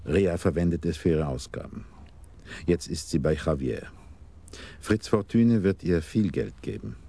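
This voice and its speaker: An actor playing a cultured, self-satisfied schemer who talks with unhurried politeness in a German radio crime drama. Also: male voice, sits low, mellow, full character voice. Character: sly, cultured male schemer, self-styled keeper of order. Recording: clearly noisy 1980s radio drama recording.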